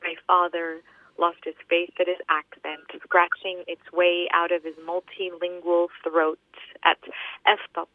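A woman poet in her thirties from Australia talks over a tinny, phone-like line, her voice held in a narrow pitch range. She answers with hesitant fillers and reads her poems steadily. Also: no room sound. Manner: hesitant, many fillers and restarts in talk; steady, measured recitation when reading poems. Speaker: poet, interview guest. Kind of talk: interview answers and poetry reading.

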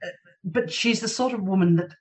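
A woman's voice: mid-range voice, brisk, darkish tone. Woman guest, slightly distant from the mic. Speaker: panel guest. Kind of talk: conversational discussion. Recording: distant from the microphone.

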